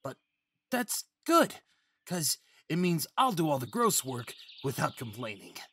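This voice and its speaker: A man's voice: a little high, sing-song, a little slow, fairly bright tone, slightly rough, no room sound, full character voice. A young man acting a timid, eager, naive male faun who stammers and pleads sweetly in an ASMR fantasy roleplay. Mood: hopeful, eager. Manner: stammering, hesitant, childlike eagerness.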